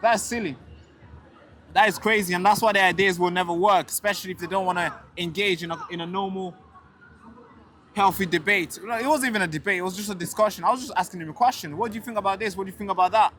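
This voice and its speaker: A man's voice: sits high, fast talker, fairly bright tone, slight background noise. A young man from Tanzania, a street interviewer and show host, talking indignantly outdoors and repeating words when he gets heated. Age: young adult. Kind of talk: casual street talk and direct-to-camera rant. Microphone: handheld camera mic.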